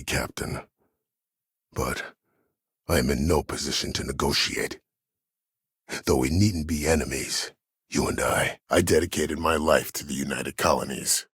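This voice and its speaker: An actor playing an imprisoned, disgraced admiral in a video game, a calculating schemer who speaks with cold courtesy in measured, formal, deliberate phrases. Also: male voice, a bass voice, a bit bright, slightly rough, no echo, studio quality, exaggerated voice. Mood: grave, measured. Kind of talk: video game character dialogue.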